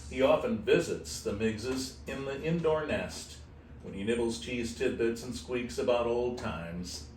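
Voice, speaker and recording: Male storybook reader, expressive delivery with informal asides; mid-pitched, roomy sound with faint noise. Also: measured pace. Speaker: host reading a children's book aloud. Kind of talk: expressive storybook read-aloud with casual asides. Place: animal shelter room with kittens. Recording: echoey room, distant mic, faint noise.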